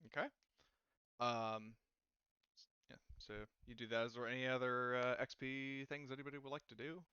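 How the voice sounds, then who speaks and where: Smooth-voiced man, slightly muffled recording. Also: mid-range voice, level tone, normal speed, pushed and bright, no echo. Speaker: game master. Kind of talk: casual chat at a tabletop roleplaying session.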